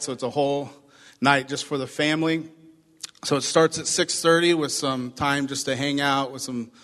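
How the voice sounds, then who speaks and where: A man with a hoarse voice, pastoring a church, giving chatty announcements and a short sermon to his congregation. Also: mid-range voice, a bit bright. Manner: upbeat, chatty, addresses congregation directly. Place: church sanctuary. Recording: long reverb of a church hall.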